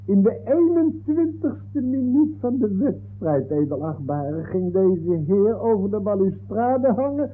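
Casting: fussy, offended referee in court, very high-pitched and husky, old radio comedy sound. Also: male voice, sing-song, measured pace, few pauses, heavily acted. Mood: indignant, fussy. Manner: indignant, formal, fussily consulting notes. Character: aggrieved, pedantic male football referee testifying in court. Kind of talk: 1960s comic radio play acting. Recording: dull 1960s radio recording.

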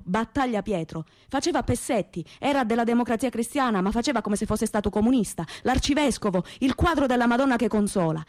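A woman's voice: on the high side, a little mellow, dry recording, clean studio sound, natural voice. An actress playing a plain-spoken, proud young working-class woman with a Calabrian accent, telling her memories in earthy, colloquial radio theatre. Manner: earthy, colloquial, repetitive folk storytelling. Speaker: stage actress performing a monologue. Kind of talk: dramatic first-person storytelling in dialect-tinged Italian radio theatre.

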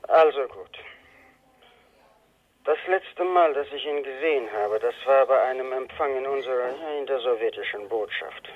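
An actor playing a cagey, money-minded former Soviet embassy informant, a native Russian speaker, in a radio drama telephone call. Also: male voice, mid-range voice, normal speed. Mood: reluctant recollection. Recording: thin, narrow telephone line.

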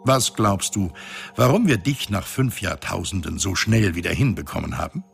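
An actor playing the genial, ancient mind of a space station, telling its history wryly in a husky, gravelly voice. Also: male voice, low voice, expressive, normal speed, faint music behind, exaggerated voice. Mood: expository. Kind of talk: storytelling narration in science fiction radio play.

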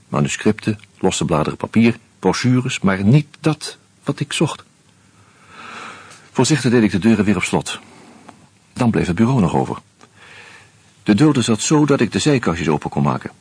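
A very husky weary investigator. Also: male voice, a little mellow, no echo, heavily acted. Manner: measured, grim, low-key storytelling. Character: weary man investigating a murder. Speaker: first-person narrator and detective figure. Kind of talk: radio drama narration.

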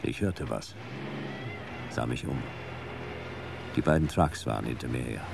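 An actor playing a laconic, hard-boiled future private detective, speaking in terse sentences in a noir radio play. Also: male voice, extremely low pitch, a little slow, vocal fry, noisy background, full character voice. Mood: terse, brooding. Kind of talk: noir first-person narration and radio play acting.

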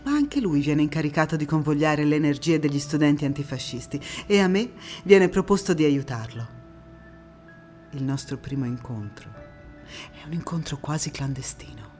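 A woman's voice: low-pitched, moderate pace, darkish tone, soft background music, close to an everyday voice. An actress playing a passionate young anti-fascist partisan telling her war story in a dramatic stage monologue. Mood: reminiscing. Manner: dramatic, emphatic delivery.

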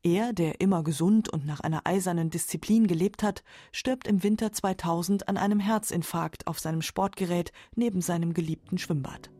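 A woman's voice: on the low side, moderate pace, hardly any pauses, mellow, dry recording. A woman narrating a scripted radio feature as its narrator.

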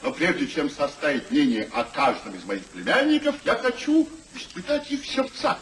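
A gruff, expansive old uncle in a vintage stage-style radio play. His voice is high and leaps up and down as he exclaims. There is a light haze of noise from the old recording. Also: sing-song, moderate pace, a bit bright. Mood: scornful, blunt. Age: older. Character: Sir Oliver, gruff hearty old uncle back from abroad. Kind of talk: 1950s Russian theatrical radio acting.

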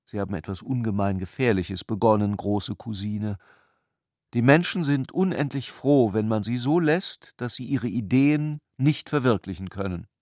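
An actor playing a reflective, detached intellectual in a literary radio drama, speaking in a measured, deliberate way. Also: male voice, fairly low pitch, a little mellow, no room sound, clean studio sound, lightly acted. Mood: musing, quiet. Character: reflective, detached intellectual man, narrating and philosophizing.

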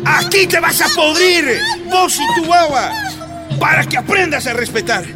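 A performer playing a furious prison guard shouting insults in a radio drama. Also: extremely high pitch, sing-song, measured pace, over loud music, over a noisy crowd, exaggerated voice.

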